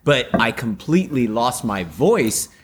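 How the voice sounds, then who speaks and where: A man's voice: medium pitch, sing-song, unhurried, a little hiss or hum. Upbeat rambling man, voice slightly off. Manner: rambling, upbeat, lots of fillers. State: voice still slightly off after a sore throat. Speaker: podcast co-host. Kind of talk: casual chat.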